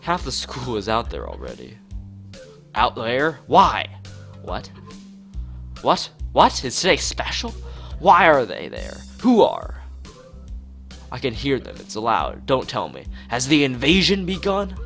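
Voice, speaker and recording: A man's voice: medium pitch, huge pitch swings, takes it slowly, creaky, a bit bright, full character voice. A man voicing a paranoid young male student in a visual novel, agitated and dramatically complaining. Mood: alarmed. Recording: music playing behind the voice.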